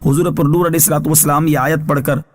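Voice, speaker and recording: A man giving a scripted religious narration as a scholar, explaining scripture in a measured, formal way. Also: fairly high pitch, flat delivery. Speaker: religious scholar reading translation and commentary.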